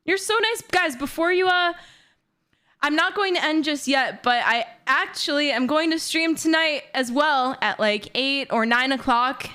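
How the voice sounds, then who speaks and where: A woman in her twenties chatting excitedly and bubbly on a livestream from her bedroom. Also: high-pitched. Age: 20s. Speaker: streamer. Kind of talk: casual livestream chat.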